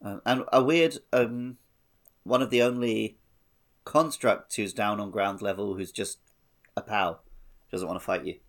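A man co-hosting a gaming podcast in relaxed, casual conversation with friends. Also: fairly low pitch, a little slow, long pauses. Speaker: podcast co-host.

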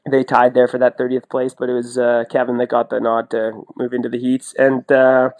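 A man's voice: medium pitch, completely flat delivery, a little fast, a little mellow. A man chatting casually as a sports podcast co-host, talkative and colloquial, often repeating himself for emphasis. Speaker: podcast co-host and sports commentator, former athlete.

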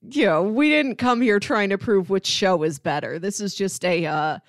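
A woman speaks at a medium pitch as a podcast co-host in casual conversation. The sound is dry, with very little room around her voice. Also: normal speed, no room sound. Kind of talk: casual chat about TV sitcoms.

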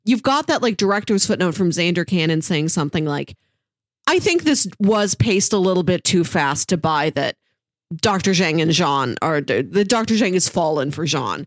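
Podcast co-host, woman, lowish voice, thoughtful and fluent, dry recording. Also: big pitch swings, moderate pace, no echo. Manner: thoughtful, fluent, frequent "yeah" backchannels. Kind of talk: casual comic-book discussion.

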